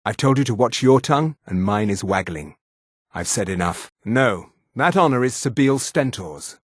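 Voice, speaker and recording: An actor voicing a haughty, sneering high elf official in a fantasy video game, speaking in a pompous, condescending, precise manner. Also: male voice, expressive, normal speed, no room sound, studio quality, lightly acted. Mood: dry, measured. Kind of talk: scripted fantasy game dialogue.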